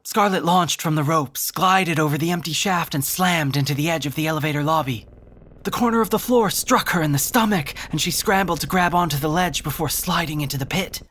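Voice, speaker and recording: A man narrating a scripted audio drama in a dramatic, deliberate storytelling manner. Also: on the high side, fairly bright tone.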